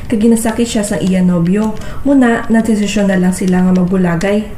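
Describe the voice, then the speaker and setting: A woman's voice: medium pitch, fairly even intonation, fairly quick, dark tone, natural voice. A woman playing a hardworking, caring young girlfriend in an amateur radio drama, earnest and conversational, with a Western Visayan Filipino accent. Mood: concerned, resigned. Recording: roomy echo, faint background noise.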